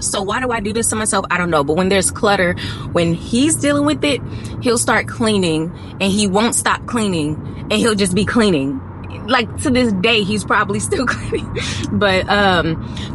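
Chatty young woman in a car. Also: medium pitch. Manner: chatty, confiding, drawn-out pauses. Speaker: vlogger. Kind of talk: casual chat to camera. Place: parked car, indoors of vehicle. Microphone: phone camera on dashboard. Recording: car with road noise behind.

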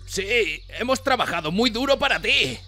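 Man voicing a high, jittery cartoon blue jay. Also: animated, moderate pace, sharp tone, faint music behind. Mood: nervous. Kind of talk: adult parody cartoon fandub.

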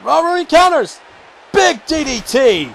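A man calling a video game wrestling match play-by-play, shouting excitedly and going hoarse. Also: unhurried, slight background noise. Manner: shouting, high-pitched and strained, losing his voice. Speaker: commentator.

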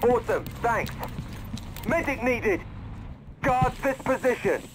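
An actor playing an urgent soldier in a video game, exclaiming short combat alerts. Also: male voice, very high voice, animated, takes it slowly, full character voice. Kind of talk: shouted game voice commands. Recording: game audio under loud battle noise.

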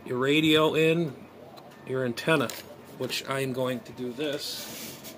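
Male hobbyist vlogger, mid-range pitch, halting think-aloud delivery, casual and unrehearsed, noisy phone recording. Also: fairly even intonation. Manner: hesitant, muttering, thinking aloud. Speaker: amateur radio hobbyist vlogger. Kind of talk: casual unscripted gadget unboxing commentary. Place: small bedroom, indoors. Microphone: phone at arm's length.

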